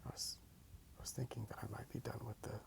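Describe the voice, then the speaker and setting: A man speaking in a soft, hushed ASMR style, musing slowly and repeating his phrases as he narrates his drawing. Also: medium pitch, level tone, gravelly. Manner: hushed, unhurried musing, repeats phrases, asks listener questions. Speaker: host of an ASMR drawing video. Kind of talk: soft-spoken ASMR rambling while drawing. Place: outdoors in a park.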